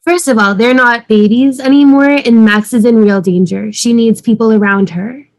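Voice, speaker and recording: A woman's voice: a little high, normal speed, close to an everyday voice. A woman playing an earnest, bossy, studious young woman with a firm, matter-of-fact manner in a fan table read on a video call.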